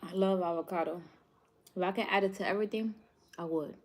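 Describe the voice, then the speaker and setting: A woman's voice: medium pitch, steady tone, fairly quick, a little mellow. A woman from New York hosting a live cooking stream, chatting casually with viewers and laughing often. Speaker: cooking show host.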